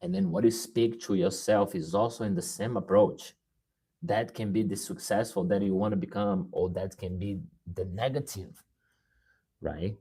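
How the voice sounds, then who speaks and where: A man's voice: deep voice, fairly even intonation, moderate pace, dark tone, breathy edge. A man from Brazil speaking English with a Brazilian Portuguese accent, giving an earnest motivational talk on a live stream and often asking "right?". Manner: earnest, repeats words and restarts, asks "right?".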